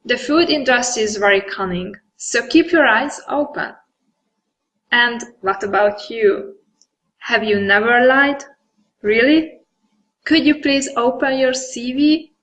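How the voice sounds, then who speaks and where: A woman's voice: a little high, a bit bright, low-quality recording. A woman with a Hungarian accent, a non-native English speaker, giving a conference presentation and then answering questions with some hesitation. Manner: earnest, occasional hesitations. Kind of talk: presentation with audience Q&A.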